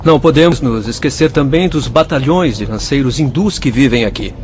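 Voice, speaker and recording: A man's voice: medium pitch, animated, brisk, darkish tone, slight background noise, lightly acted. A man voicing an earnest male spirit official with a Brazilian Portuguese accent, explaining things. The recording sounds dull and muffled. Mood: informative.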